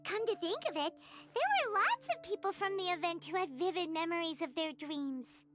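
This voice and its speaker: A squeaky, chirpy fairy companion. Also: female voice, lively intonation, normal speed. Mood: excited. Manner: chirpy, refers to herself in third person. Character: small excitable fairy companion girl. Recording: game audio with background music.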